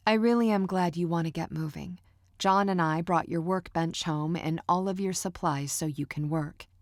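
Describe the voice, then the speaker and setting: A woman's voice: fairly low pitch, normal speed, no echo, studio quality, natural voice. Woman reading as a sweet, doting mother. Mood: gushing. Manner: soft, doting, cooing. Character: sweet, overly caring middle-aged mother.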